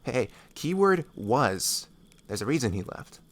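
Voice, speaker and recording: A man voicing a laid-back, blunt but kindly woodsman, casual and unhurried, in a fantasy audio roleplay. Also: medium pitch, very animated. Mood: matter-of-fact. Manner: casual, unhurried, matter-of-fact.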